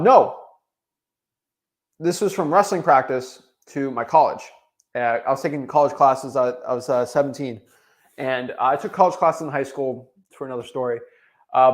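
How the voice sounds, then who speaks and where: A young man from Florida giving an energetic motivational talk, full of restarts and tagging his points with "right?". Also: mid-range voice. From Florida, USA. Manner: energetic, repetitive tag "right?", frequent restarts. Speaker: real estate wholesaling coach.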